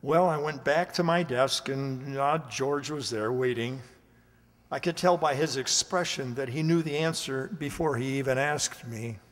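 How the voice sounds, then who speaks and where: A man's voice: medium pitch, a little slow, natural voice. A man playing a meek, hesitant security guard in a radio drama, stammering and folksy as he speaks. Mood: rueful, confiding. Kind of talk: radio drama acting and narration. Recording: reverberant hall-like amateur recording.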